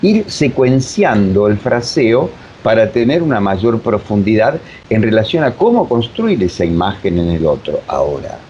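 A mature man with an Argentine accent explaining reflectively as an interview guest, with emphatic repetition and deliberate pauses. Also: fairly low pitch, big pitch swings, mellow. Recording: dull lo-fi sound, faint noise.

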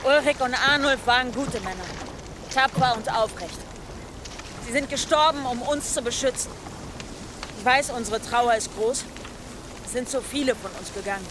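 An actress playing a resolute, grieving leader of a pagan band in a German audio drama, solemn and commanding. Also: female voice, fairly high pitch, moderate pace, long pauses, noisy background, lightly acted. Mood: mourning, solemn.

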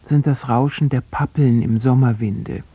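A man's voice: mid-range voice, steady tone, unhurried, dark tone. A man from Poland reciting a literary, wistful account of his childhood in a solemn, poetic manner. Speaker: narrator recalling childhood.

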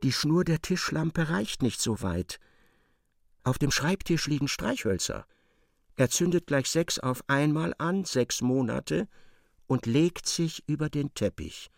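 An actor playing an intense storyteller, narrating a feverish tale for radio drama. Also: male voice, a little high, expressive, takes it slowly, creaky, dry recording, clean studio sound, exaggerated voice. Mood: tense.

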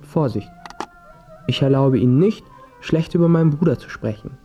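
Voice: mid-range voice, normal speed, dark tone, breathy edge, lo-fi sound, faint music behind, lightly acted. An actor playing an eager, defiant boy in his early teens in a radio drama, earnest, cheeky and questioning. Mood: defiant, curious.

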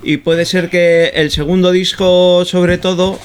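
Smooth, high-voiced man from Bilbao. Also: takes it slowly. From Bilbao, Spain. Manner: relaxed storytelling, hedges with "creo". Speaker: guitarist and singer guest. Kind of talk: casual radio chat.

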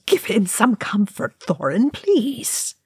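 A woman's voice: on the low side, huge pitch swings, fairly quick, breathy edge, dry recording, studio quality, exaggerated voice. A woman voicing an anguished, hot-tempered old male dwarf ghost, emphatic and fraught, in a storybook reading. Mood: anguished pleading. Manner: pleading, snappish, emphatic.

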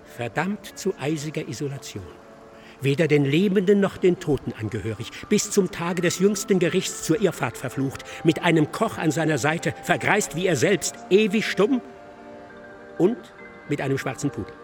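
An actor playing an excitable, self-absorbed male scholar in a German radio drama, lecturing insistently and theatrically. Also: on the high side, moderate pace, faint music behind, close to an everyday voice. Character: excitable, self-absorbed male scholar expounding his dark ghost-ship thesis.

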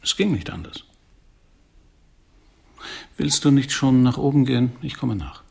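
An actor playing a suave, commanding research director in a radio drama, with diction and a gravelly, husky voice. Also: male voice, medium pitch, animated, measured pace, a little mellow, exaggerated voice. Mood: smooth, dismissive. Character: Adam Burden, suave, coolly commanding research institute director. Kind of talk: German radio drama acting.

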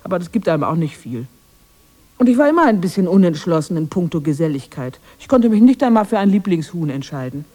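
Mellow-voiced lonely old forest witch. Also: lowish voice, very animated, fast. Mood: wistful, self-justifying. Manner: plaintive, self-justifying. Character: lonely, misunderstood old witch of the woods, defending herself. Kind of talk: radio drama monologue.

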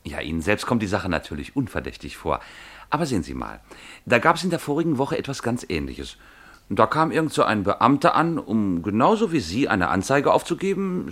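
An actor playing a stolid, fussy male newspaper clerk in a radio play, deliberate and matter-of-fact, obliging yet unyielding. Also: medium pitch, fairly bright tone, full character voice. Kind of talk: German radio play acting.

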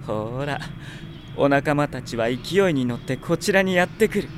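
An actor playing a scheming, cruel young sorcerer with mockingly polite, unhurried speech in a Japanese radio drama. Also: male voice, mid-range voice, animated, a little hiss or hum, close to an everyday voice. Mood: smug, taunting. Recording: drama production with music behind.

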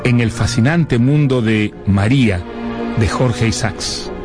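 A man's voice: medium pitch, slow. A man hosting a literary radio programme, reading a scripted presentation. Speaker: host presenting novels. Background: music.

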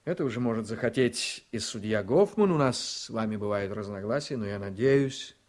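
An actor playing a confident, ambitious politician in a Russian radio drama, speaking in a wry, emphatic way. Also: male voice, lowish voice, lively intonation, normal speed, natural voice. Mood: guarded, confident. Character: blunt, ambitious male politician, confident and sardonic.

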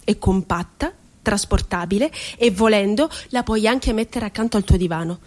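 A woman's voice: mid-range voice, moderate pace, darkish tone, a little hiss or hum, lightly acted. An actress playing a wry, childlike, wounded woman poet confined in an asylum, in a lyrical theatrical monologue. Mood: playful, wry. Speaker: stage actress. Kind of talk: theatrical monologue for radio drama.

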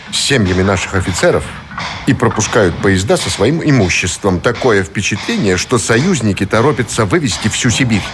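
Guy playing embittered commander in a radio drama, deep swooping voice, bit of hiss. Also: lightly acted. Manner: authoritative, commanding. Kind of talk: Russian radio drama acting.

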